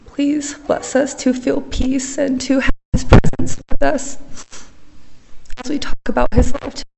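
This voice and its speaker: A woman offering a reverent, measured opening prayer at a funeral service in a chapel. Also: sits high, little pitch movement, gravelly, noisy background.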